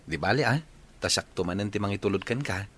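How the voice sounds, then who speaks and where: A man's voice: lowish voice, fast talker, a bit bright, slightly rough. A man playing a polite, easygoing young village suitor in a radio comedy drama.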